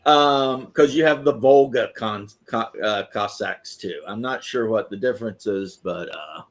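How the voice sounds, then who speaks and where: A man's voice: fairly low pitch, measured pace. A man chatting on a livestream, hesitant, full of fillers and trailing off. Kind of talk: casual chat.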